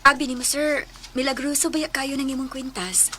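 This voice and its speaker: A woman's voice: high voice, level tone, moderate pace, pushed and bright. An actress with a Filipino accent playing a polite, devout and earnest woman passenger in a radio drama.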